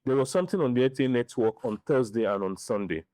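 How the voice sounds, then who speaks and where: A middle-aged man with a Nigerian accent giving opinions in a podcast interview, speaking deliberately and repeating phrases for emphasis. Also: mid-range voice, expressive, warm tone, no echo. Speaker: interview guest, film director and writer.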